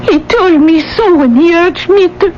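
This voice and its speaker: High-voiced, fragile, distraught lady. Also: measured pace, a little mellow. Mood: horrified. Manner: fragile, hesitant, melodramatic. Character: distraught, trusting, genteel wealthy lady. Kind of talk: 1980s radio drama acting. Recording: dull, noisy old radio broadcast.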